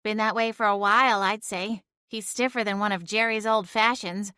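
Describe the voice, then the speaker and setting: A woman voicing a sassy female talking cat with a wry, quick, teasing delivery, heard in a storybook reading. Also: mid-range voice, steady tone, pushed and bright, smooth, dry recording, close to an everyday voice.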